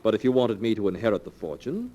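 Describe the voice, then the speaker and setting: An actor playing a smooth, callous young heir in a 1940s radio mystery, with a suave air of feigned innocence. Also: male voice, lowish voice, big pitch swings, fast, warm tone, lo-fi sound. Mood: smug, defiant. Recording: noisy old 1940s radio recording.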